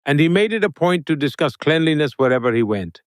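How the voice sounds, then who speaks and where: A man giving an interview guest's answers in English, with a steady, formal delivery like a prepared speech. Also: fairly high pitch, fairly quick, no echo, studio quality.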